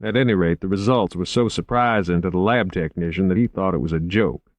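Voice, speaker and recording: A refined, unflappable agent, as a man reads him. The voice is low, measured and unhurried. Dry studio sound. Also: dry recording. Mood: calm.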